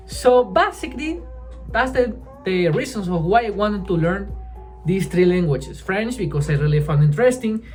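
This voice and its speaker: A woman whose first language is Spanish talks casually in English, in a voice of middling pitch. She halts, restarts her phrases and keeps checking in with "right?". Soft music plays faintly underneath. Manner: halting, restarts often, keeps adding "right?". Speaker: language-learning vlogger. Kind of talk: casual chat to camera.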